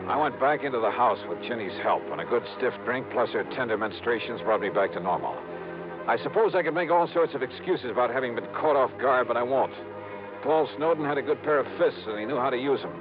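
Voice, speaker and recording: An American actor playing a tough, wry, self-assured insurance investigator in 1950s radio detective style, with clipped colloquial delivery. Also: male voice, medium pitch, expressive, quick pace, natural voice. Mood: hard-boiled narrating. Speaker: lead actor and narrator. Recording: dull vintage radio recording with music.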